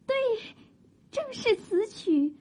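An actress playing a gentle, modest young maiden of old China in a Chinese radio drama, with soft, coy period diction. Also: female voice, very high voice, expressive, takes it slowly, noisy background, heavily acted. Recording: muffled narrow-band old radio drama.

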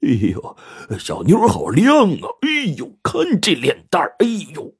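Man acting a leering, husky fat lecher. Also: a little high, wildly swooping pitch, pitched above the performer's own voice, heavily acted. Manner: leering, coarse, drawn-out taunting.

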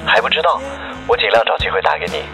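An actor in a radio drama playing a young aspiring singer, an affectionate but tired boyfriend who speaks coaxingly. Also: male voice, very high-pitched, wildly swooping pitch, fast, vocal fry, exaggerated voice, rough recording. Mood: gentle. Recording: music behind the voice. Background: background music.

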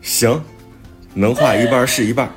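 A man acting a puzzled, money-minded client of a fortune-teller in a comic radio play, asking short deadpan questions. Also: medium pitch, normal speed, faint music behind, close to an everyday voice.

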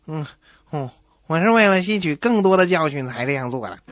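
A man voicing a cheeky, literal-minded schoolboy in a comedy radio sketch, slow, hesitant and innocent. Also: on the high side, animated, very noisy, close to an everyday voice.

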